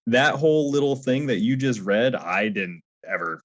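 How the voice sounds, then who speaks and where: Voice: mid-range voice, expressive, moderate pace. A podcast co-host in casual chat, laid-back, giving short reactions and laughing often.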